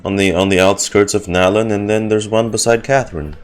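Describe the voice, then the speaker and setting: A man giving casual live commentary on a game as a streamer, halting and wry. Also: on the low side, fairly even intonation, soft background music. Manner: halting, wry, self-joking.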